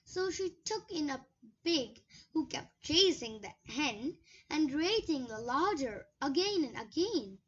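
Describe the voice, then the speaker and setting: A high, childlike voice reads a children's story aloud. The delivery is slow, deliberate and expressive. The sound is slightly dull and lo-fi.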